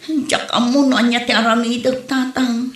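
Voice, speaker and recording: A woman's voice: a little high, little pitch movement, normal speed, natural voice. A woman with an Ilocano accent playing a weary, hot-tempered young wife and mother in an audio comedy drama. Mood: weary, plaintive. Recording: roomy echo, faint background noise.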